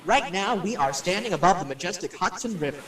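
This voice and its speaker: An echoey, slightly distant recording of a man playing a showy radio personality in a radio play. He pitches his voice a bit high and delivers jokey ghost-hunter patter like a showman on the air. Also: animated, brisk, a bit bright. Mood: jokey showmanship. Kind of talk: radio drama acting, on-air announcer patter. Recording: echoey, distant, faint background noise.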